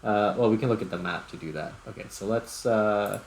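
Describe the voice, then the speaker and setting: A man's voice: fairly low pitch, fairly quick. A man giving casual video game commentary as host, thinking aloud in short fragments. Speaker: gaming YouTuber, host. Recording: clear background noise.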